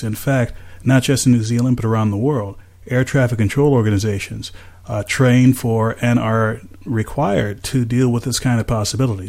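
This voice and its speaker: An American man speaking as an aviation safety analyst, in a measured, careful, explanatory way. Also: mid-range voice, a little mellow. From United States. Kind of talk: measured explanatory interview talk.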